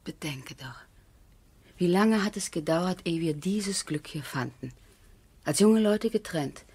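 An actress playing a thoughtful, wary aristocratic wife in a radio drama, with measured, intimate, softly breathy delivery. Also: female voice, lowish voice, animated, heavily acted. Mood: anxious, pleading. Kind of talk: 1970s German radio drama acting.

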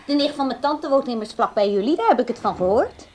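A woman's voice: high voice, animated, fast talker, darkish tone, slight background noise. An actress playing a simple, kind-hearted young woman in a radio play, speaking in an artless, shyly hesitant way.

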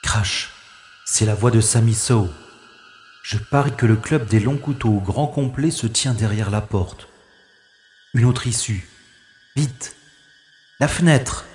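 A man's voice: medium pitch, takes it slowly, long pauses, a little mellow, slight background noise, close to an everyday voice. A man playing a cocky, wry space secret agent in a French science-fiction audio drama. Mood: tense, wry. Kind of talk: French sci-fi audio drama, first-person narration and dialogue. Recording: roomy sound with music behind.

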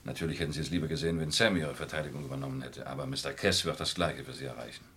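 An older small-town lawyer speaks in a low voice. He is fatherly and worried, explaining things in a measured way, in an old radio play with slight noise. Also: steady tone, fairly bright tone. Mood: soothing, fatherly.

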